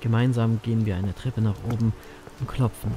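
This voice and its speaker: An actor playing a brooding, wry male grim reaper who narrates his own story in an audio drama, self-mocking and breathy in places. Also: fairly low pitch, steady tone, fairly quick, over loud music, natural voice. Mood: dry, conversational.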